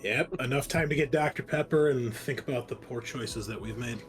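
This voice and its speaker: A man's voice: mid-range voice, normal speed. A man playing a tabletop roleplaying game, chatting casually with a wry, joking manner. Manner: wry, joking, explains rules readily. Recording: faint music under the voice. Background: faint music.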